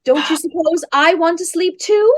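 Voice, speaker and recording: A woman's voice: very high-pitched, moderate pace. A woman playing a penny-pinching, exasperated wife who needles and complains in an old-time radio comedy. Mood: nagging, exasperated.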